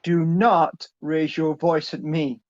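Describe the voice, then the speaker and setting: A man's voice: a little high, normal speed, highs cut off, close to an everyday voice. A man playing a stern, middle-aged businessman father, curt and commanding, in a dramatic story reading. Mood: angry, bitter.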